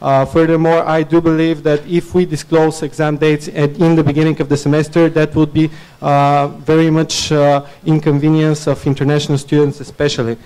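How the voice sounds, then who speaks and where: A young man from Bulgaria, native in Bulgarian, speaks as a student candidate into a podium mic. He talks earnestly in a flat, even tone with a clear, smooth voice and pitch a little above the usual, and he often fills pauses and starts over. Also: rough recording. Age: young adult. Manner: earnest, many filler "uh"s and restarts. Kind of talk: campaign speech at a podium. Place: dim student union venue, indoors. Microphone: podium mic on stand.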